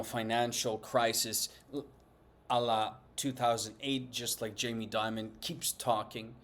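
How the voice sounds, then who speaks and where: Emphatic man with a Greek accent. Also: medium pitch. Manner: emphatic and lecturing, with many tag questions and taunts. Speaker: finance channel host. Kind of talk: opinionated market commentary to camera.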